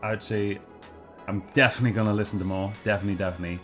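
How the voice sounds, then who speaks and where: A quick-talking Welsh man in his middle years, chatting from a sofa at home. His voice sits fairly low, and he rambles along, looping back over his points with plenty of ums. Faint music sits under his voice. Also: fairly even intonation, fairly bright tone. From Wales. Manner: rambling, repeats himself, many fillers. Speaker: host. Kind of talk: casual review chat. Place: living room, seated on a sofa.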